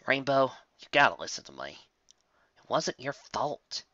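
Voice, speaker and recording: A man voicing a steady, caring female earth pony, speaking slowly and soothingly. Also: mid-range voice, no room sound. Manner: slow and soothing, drawn out. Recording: dull lo-fi dry recording.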